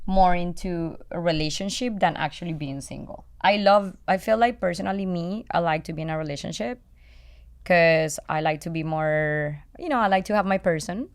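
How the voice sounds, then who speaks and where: Low, clear-voiced Colombian woman chatting candidly. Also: moderate pace. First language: Spanish. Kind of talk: candid casual chat.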